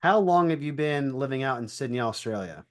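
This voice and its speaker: Male podcast host, mid-pitched voice, conversational, folksy questions. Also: expressive, brisk. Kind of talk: interview hosting.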